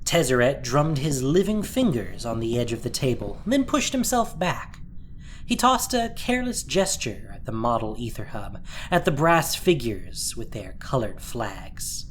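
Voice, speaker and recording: A woman narrating a dramatic story from a script, expressive and deliberate in her telling. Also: rumbling low, a little hiss or hum.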